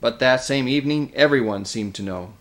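A man's voice: mid-range voice, fairly quick, fairly bright tone, close to an everyday voice. A man voicing a loyal, steadfast talking badger with a thick voice, earnest and firm, in a storybook. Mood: earnest, accusing.

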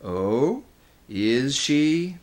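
A man voicing a menacing male werewolf with a grim gray voice and a slow, commanding manner in a storybook. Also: mid-range voice, big pitch swings, a little mellow, voice pushed up.

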